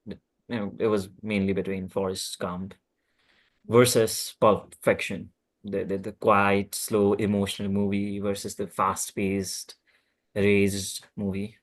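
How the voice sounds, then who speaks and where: A man with a fairly low voice talks casually in a group discussion of films. His delivery is halting, and he often repeats words as he searches for the next one. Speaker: table read participant.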